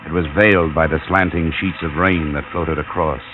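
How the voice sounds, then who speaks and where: Tough noir detective, deep voice, measured and terse, crackly old radio show. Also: male voice, fairly even intonation. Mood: somber. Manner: terse, measured, hard-boiled. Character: tough world-weary American insurance investigator, narrator. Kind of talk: 1950s radio noir acting and narration. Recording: muffled, noisy old radio recording.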